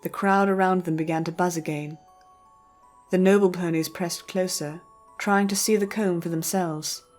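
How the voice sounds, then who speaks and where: Woman reading a story as narrator, bit of a low voice, music in the background. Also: fairly even intonation, normal speed, darkish tone.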